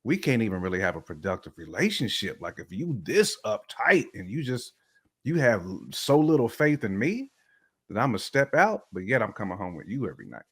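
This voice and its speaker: A man's voice: fairly low pitch, very animated, fairly quick, a bit bright, slightly rough. A man chatting casually on a podcast, giving opinions in informal, slangy, emphatic phrases. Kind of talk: casual chat, opinionated commentary.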